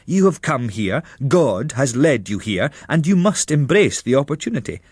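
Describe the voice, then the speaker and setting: A man's voice: medium pitch, sing-song, moderate pace, darkish tone, husky, dry recording, pitched above the performer's own voice. A male narrator puts on a stern, zealous priest in a storybook. He exhorts with urgent, insistent emphasis and allows no argument. The recording is dry and close. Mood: zealous urging.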